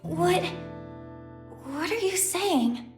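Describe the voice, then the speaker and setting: A sincere, caring teen girl in a dubbed game. Her voice is strikingly high and very slow, echoing over loud plucked-string music. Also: expressive, full character voice. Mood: stunned. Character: earnest, caring teenage girl, a skyfaring heroine. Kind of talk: English game dub voice acting.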